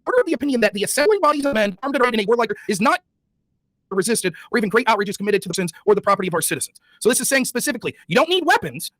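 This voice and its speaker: A man in an online debate pressing his case and reading old court text at a very fast clip. His voice is strikingly high and lively, and the recording is dry. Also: no echo. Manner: insistent, reads legal text quickly. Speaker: debate participant, show host.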